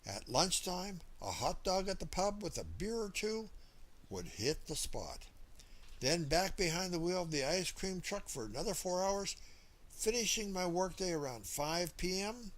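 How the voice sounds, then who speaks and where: A man's voice: high voice, very animated, unhurried. A man narrating a first-person memoir as an audiobook reader, telling the story from a script.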